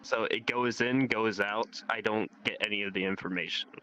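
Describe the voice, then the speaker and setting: A man's voice: on the low side, steady tone, moderate pace, pushed and bright, a little hiss or hum, poor audio. A teenage young man in a casual, rambling chat, swearing offhandedly and leaning on "like". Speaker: guest telling life experiences. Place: online voice chat in a VR social game.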